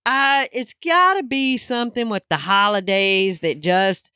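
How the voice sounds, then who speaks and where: A woman's voice: medium pitch, a little slow, sharp tone, clear, resonant tone, no echo, studio quality. A woman in her fifties, co-hosting a podcast with laughing, teasing banter. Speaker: podcast co-host. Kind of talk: casual chat.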